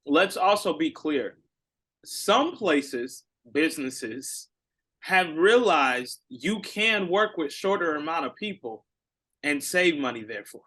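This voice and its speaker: Casual male co-host, bright edge, slightly high voice, playful and chatty. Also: very animated. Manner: teasing, talkative, drawn-out explanations. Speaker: podcast co-host. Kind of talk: casual podcast chat.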